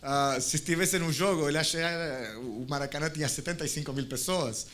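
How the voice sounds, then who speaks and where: A man from Argentina with an Argentine Spanish accent, speaking on a conference panel, halting with frequent restarts and fillers. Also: on the high side, fairly bright tone.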